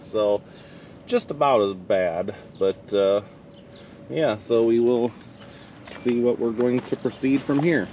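A man in his thirties narrating a casual work vlog about hauling campers, relaxed and unhurried with lots of fillers. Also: medium pitch. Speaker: towing business owner. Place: truck cab and outdoor work yard. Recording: noisy with vehicle and engine hum.

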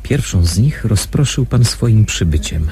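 An actor playing a wary, thoughtful undercover investigator in a radio drama, with a measured, questioning manner. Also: male voice, fairly low pitch, animated, vocal fry. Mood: wary, probing. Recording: noisy recording with background ambience.

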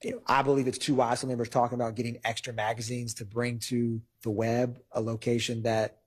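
A man arguing to a judge as a prosecutor in a courtroom, formal but halting, with a husky voice. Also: medium pitch, flat delivery. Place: large wood-paneled courtroom.

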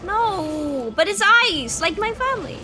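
A woman's voice: very high voice, big pitch swings, measured pace, a loud crowd, full character voice. A woman playing a timid young witch in a Minecraft roleplay, stammering and flustered. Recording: music under the voice.

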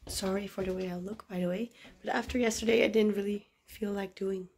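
A woman's voice: mid-range voice, steady tone, a little fast, a little mellow, a little hiss or hum. A young Dutch woman in her twenties with a Dutch accent, chatting casually to her phone camera at home and laughing at herself. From Netherlands. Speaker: vlogger. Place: small apartment indoors. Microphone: phone held at arm's length.

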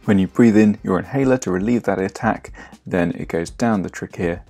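A young man with a lowish voice explains biology patiently and clearly, as a teacher would. He is recorded in a home kitchen with a roomy echo. Soft music plays faintly underneath. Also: normal speed. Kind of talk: educational explainer.

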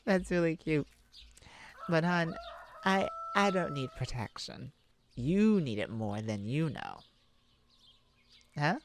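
A woman's voice: deep voice, very animated, slow pace, long pauses, a little hiss or hum, heavily acted. A woman playing a kind, anxious mage in an ASMR audio roleplay, talking hesitantly and intimately to a childhood friend. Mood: startled, teasing, then reluctant, playful. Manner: hesitant, stammering, soft-hearted worrier.

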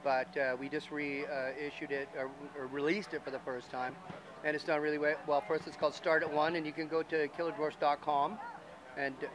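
A Canadian man with a slightly raised voice chats casually on a handheld mic. Clear outdoor noise and passing vehicles sit under his words. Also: fairly high pitch, little pitch movement, fairly quick. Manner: laid-back, many uh fillers, jokey. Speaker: rock musician guest. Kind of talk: casual interview chat. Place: outdoors at a festival.

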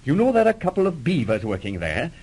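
A mild husband under his wife's thumb in an old radio play. He is gentle and eager. His delivery is soft-mannered and placating. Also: on the high side, huge pitch swings, fast talker, slightly rough, full character voice. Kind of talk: 1930s radio drama acting.

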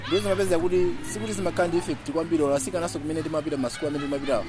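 A man's voice: on the high side, steady tone, rapid-fire. A man in his twenties with a Malawian accent, giving an account in an outdoor documentary interview. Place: outdoors in a garden courtyard. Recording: loud music under outdoor voice.

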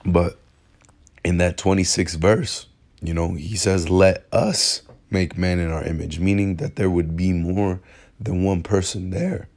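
Hoarse raspy low-voiced man. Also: steady tone, darkish tone. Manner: halting, repeats words, many fillers. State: hoarse from illness. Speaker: religious teacher. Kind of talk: informal bible lesson over screen recording. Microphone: phone screen recording.

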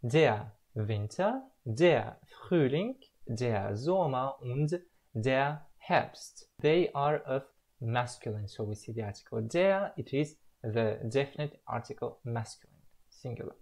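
A young man talks slowly through a home-made lesson, spacing out each word with care. His voice is breathy and a bit husky, while his pitch swings widely from phrase to phrase. He sounds like a learner recording himself on a webcam in a small room. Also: expressive, a little mellow. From Russia. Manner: slow, careful, drawn-out word-by-word explanation. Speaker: language learner presenting his progress. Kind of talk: self-recorded language lesson.

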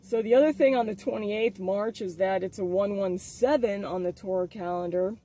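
A man speaking casually as the host of an informal religious video update, halting as he thinks aloud. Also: high voice, dark tone.

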